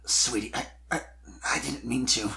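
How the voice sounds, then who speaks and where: A narrator voicing a gentle, gloomy male dragon with a hesitant, subdued way of talking in a fan fiction reading. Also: mid-range voice, moderate pace, creaky.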